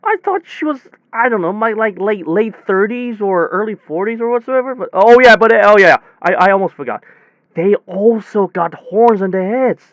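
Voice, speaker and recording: A dull, close and dry recording of a high-pitched male technician played by a man in an audio drama. He rambles in a rattled, conversational way, stumbling over himself, repeating words and correcting what he just said. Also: animated, no echo. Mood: excited, bewildered. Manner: halting, repetitive, full of false starts and self-corrections. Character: rattled, rambling young technician retelling a bizarre demon encounter. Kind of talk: hobby audio drama monologue, conversational retelling.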